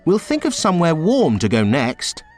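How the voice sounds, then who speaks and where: Guy voicing a cheery granny in a kids story, a bit higher than his own voice. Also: huge pitch swings, normal speed, music in the background. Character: cheerful, lively grandmother full of fun.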